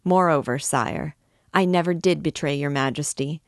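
A man's voice: high-pitched, fairly even intonation, a little slow, no room sound, clean studio sound, pitched above the performer's own voice. A woman voicing a desperate male prisoner, begging the king for mercy in tearful pleas. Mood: pleading.

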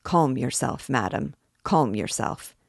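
A woman's voice: a bass voice, lively intonation, takes it slowly, gravelly, breathy edge, no room sound, studio quality, exaggerated voice. A woman voicing a firm, businesslike male government official in an audiobook. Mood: firm calming. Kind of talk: dramatic audiobook character reading.

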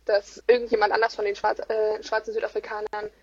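A German woman in a casual conversation, talking in an animated but hesitant way with many fillers. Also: medium pitch, brisk. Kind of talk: conversational chat. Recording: dull, lo-fi sound.

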